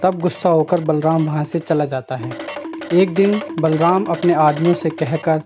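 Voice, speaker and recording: A man narrates a storybook tale in a scripted way. His voice sits a little high, and music plays clearly underneath. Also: fairly even intonation, moderate pace, dull audio.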